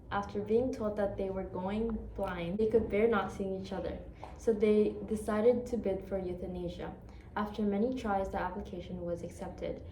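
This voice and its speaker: A woman's voice: medium pitch, warm tone, slight background noise. A young woman from Indonesia, a law student, steadily reading case facts and legal articles aloud. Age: young adult. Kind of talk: read-aloud presentation. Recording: echoey room, a bit far from the mic.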